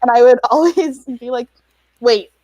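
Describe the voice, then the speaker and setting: Woman panelist, chatty and quick to laugh, lots of fillers; dry, close recording. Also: fairly high pitch, mellow, no room sound, muffled recording. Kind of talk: casual panel chat.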